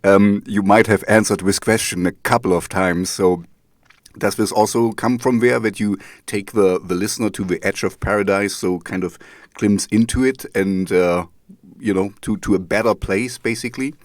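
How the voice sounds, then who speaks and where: A German-accented man hosts an interview, his speech broken up by fillers, restarts and apologies. His voice sits fairly low, and the recording is close and dry with no room sound. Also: fairly even intonation, moderate pace, no room sound. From East Germany. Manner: hesitant, many fillers and restarts. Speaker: podcast host. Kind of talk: casual interview over a call.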